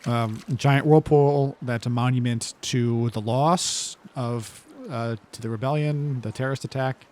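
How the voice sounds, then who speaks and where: A man's voice: medium pitch, fairly even intonation, a little slow, darkish tone, slight background noise. A man playing a tabletop game, chatting casually in a musing, questioning way.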